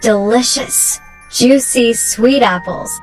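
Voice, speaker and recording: A woman's voice: on the high side, pitched above the performer's own voice. A woman voicing a sly old woman selling apples, a disguised wicked queen in a storybook, coaxing as she goes. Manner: coaxing, drawn-out sales patter. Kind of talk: storybook character voice. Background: tinkling music.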